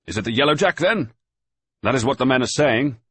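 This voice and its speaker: A man voicing a ship's sailing master who shouts orders over a storm in a raised, strained voice. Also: mid-range voice, expressive, a little fast, breathy edge, no echo, voice pushed up. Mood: shouting urgently. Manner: shouting, urgent, commanding.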